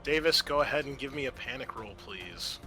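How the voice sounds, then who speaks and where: Bright-voiced man running a tabletop game. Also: mid-range voice, lively intonation, brisk, faint music behind. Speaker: game master and host. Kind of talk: improvised narration of a tabletop roleplaying game.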